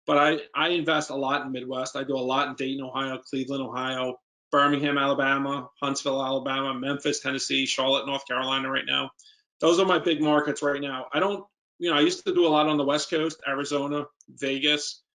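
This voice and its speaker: A man's voice: mid-range voice, level tone, fairly quick, bright, forceful tone. A man with a New York accent, an investor speaking informally as a guest and answering questions in an emphatic, plain-spoken way.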